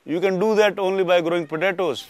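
A man with an Indian accent explaining things informally in an interview, halting and ending phrases with a tag. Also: high-pitched, fairly even intonation. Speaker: agribusiness representative.

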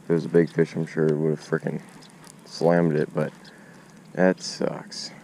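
Young fishing vlogger, deep-voiced, excitable; self-filmed outdoors, faint background noise. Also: male voice, unhurried. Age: young adult. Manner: excitable, slangy, addresses viewers as y'all. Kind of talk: casual self-filmed narration. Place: outdoors beside a suburban pond. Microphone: action camera on chest and at arm's length.

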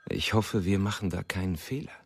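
An actor playing a sceptical, cautious man with a husky, gravelly voice in a radio drama. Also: low-pitched, brisk, exaggerated voice. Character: sceptical, cautious male colleague. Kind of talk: German radio drama acting.

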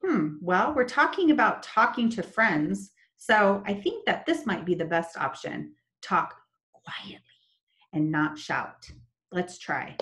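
A young woman teaching children in an online lesson, talking slowly and in a sing-song way over a laptop webcam. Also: medium pitch, very animated, a bit bright. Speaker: special education teacher. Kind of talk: online lesson with screen share. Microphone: laptop webcam, video call.

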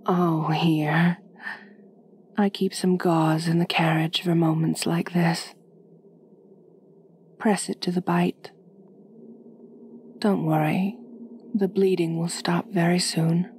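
A woman playing a gentle, doting aristocratic vampire mistress in an ASMR roleplay, speaking softly and breathily with genteel, soothing command. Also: lowish voice, flat delivery, takes it slowly, pauses often, slight background noise. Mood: soothing, reassuring. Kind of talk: ASMR roleplay, intimate acting.

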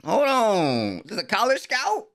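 A man's voice: high-pitched, huge pitch swings, unhurried, fairly bright tone. A young man giving casual, slangy, exclamatory reaction commentary, recorded close on a desk microphone in a small bedroom. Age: young adult. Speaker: anime reaction host. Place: small bedroom streaming setup. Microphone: desk microphone close in front.

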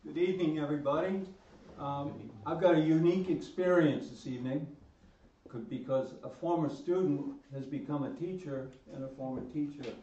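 A man introducing the evening's speaker in a friendly, measured way. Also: a little high, warm tone, a little hiss or hum. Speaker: event host introducing the speaker. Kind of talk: introductory remarks. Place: club meeting room with a seated audience. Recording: roomy, distant, dull room recording.

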